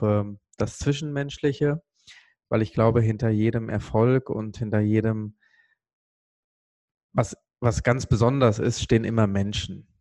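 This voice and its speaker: A man from Sri Lanka speaking earnestly and with emphasis on a motivational podcast, pausing for weight as he tells a personal story. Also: medium pitch, darkish tone, no room sound.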